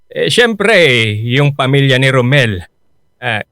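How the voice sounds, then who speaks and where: A man's voice: mid-range voice, big pitch swings, slow pace, fairly bright tone, smooth, dry recording, studio quality, exaggerated voice. An actor playing a middle-aged Filipino father in a radio drama, colloquial, grumbling and evasive. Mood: grumbling, casual. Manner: grumbling, colloquial, hesitant when cornered.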